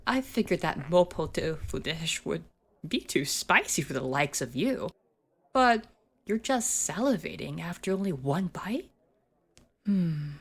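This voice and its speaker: A woman's voice: sits low, vocal fry, heavily acted. A woman playing a haughty, sadistic school bully in an ASMR roleplay, with a formal, condescending and taunting manner. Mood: smug.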